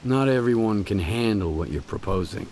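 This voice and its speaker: Brief: a skeptical, dry-humored stalker, deep measured voice, echoey noisy game audio. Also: male voice, lively intonation, a little mellow. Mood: wary. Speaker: video game protagonist. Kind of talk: video game cutscene voice acting.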